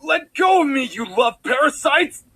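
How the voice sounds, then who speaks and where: A man's voice: very high voice, sing-song, measured pace, pushed and bright, no room sound, heavily acted. A man playing a distraught, suspicious pony prince in a cartoon drama, with an overwrought delivery. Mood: defiant. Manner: overwrought, stammering. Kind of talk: fan-made cartoon audio drama acting.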